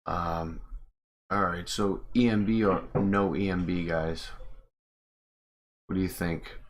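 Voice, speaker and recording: A man's voice: low-pitched, fairly even intonation, slow. A man chatting casually on a game livestream, informal and thinking aloud as he works at his computer. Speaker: game streamer and modder. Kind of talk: casual livestream chat while working at a computer.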